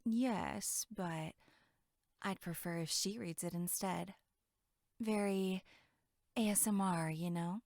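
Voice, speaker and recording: A woman's voice: on the low side, slow, pauses often, darkish tone, dry recording, studio quality. A woman acting in an audio drama as a flippant young camp counselor, with a sarcastic, blunt and casual way of talking.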